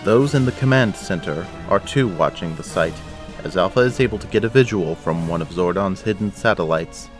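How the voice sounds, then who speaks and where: A man's voice: deep voice, a little fast, over music, natural voice. A man narrating an audio drama in a scripted, dramatic storytelling style.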